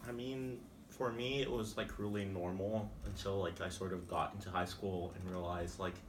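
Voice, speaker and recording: A man's voice: low-pitched, level tone, moderate pace. A young man, a native Spanish speaker with a Mexican Spanish accent, answering interview questions casually and hesitantly, with lots of "like". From Texas. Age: young adult. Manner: rambling, many "like" fillers, hesitant. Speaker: interviewee, college student. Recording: distant mic, noisy room.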